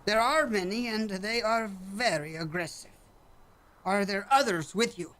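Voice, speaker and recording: Formal, measured alien villager, acted out. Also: mid-range voice, a bit bright, natural voice. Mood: solemn. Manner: formal, measured, stilted phrasing. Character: alien villager hunter, calm, formal leader of cave-dwelling people. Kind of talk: sci-fi radio play acting.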